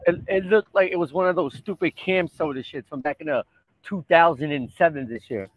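A man's voice: fairly high pitch, a little fast, no echo, low-quality recording. A man co-hosting a podcast, bantering bluntly in casual slang. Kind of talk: casual chat.

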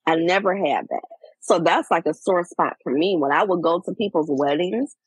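A woman in her forties, talking candidly in a casual chat, reflective and animated as she tells personal stories. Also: fairly low pitch, normal speed, husky, dry recording, low-quality recording. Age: 40s. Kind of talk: casual heartfelt conversation.

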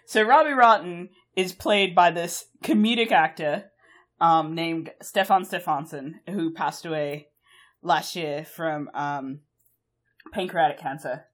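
A woman's voice: on the low side, slow, a bit bright. A young woman co-hosting a podcast, chatting casually in a rambling way, laughing often and swearing freely. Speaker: podcast co-host.

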